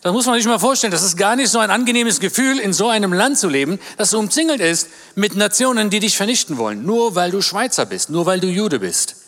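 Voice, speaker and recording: A man in his fifties, born in Germany and raised in Israel, preaching a sermon as a guest speaker. He talks animatedly, rising in pitch for emphasis and calling for amens. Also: expressive, fast, few pauses, a bit bright. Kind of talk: sermon to a congregation. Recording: long reverb of a church hall.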